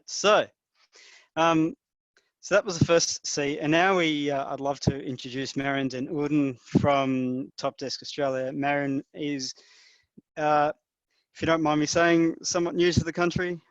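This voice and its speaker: A man hosts an online conference and introduces the next speaker with upbeat energy and plenty of filler words. His voice is of middling pitch. The audio is dull and lo-fi, and it sounds dry and close. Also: steady tone, a little slow, dry recording. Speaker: event host. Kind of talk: hosting an online event. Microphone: remote video call.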